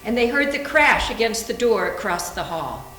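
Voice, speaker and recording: A woman narrates a suspense story in a medium-pitched voice. She is some way from the mic in an echoey room. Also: very animated, moderate pace, sharp tone. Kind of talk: scripted story narration in readers theater. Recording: echoey room, far from mic, faint noise.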